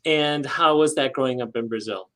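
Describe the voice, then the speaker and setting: A woman hosting a talk show, asking questions in an encouraging, halting, conversational manner. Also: very deep voice. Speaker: talk show host interviewer. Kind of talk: unhurried conversational interviewing.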